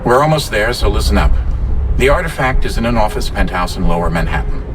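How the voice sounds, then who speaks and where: An actor playing a serious mission leader briefing a team in a video game. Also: male voice, on the low side, lively intonation, quick pace, natural voice. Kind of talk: video game cutscene acting. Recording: distant voice in vehicle-like noise.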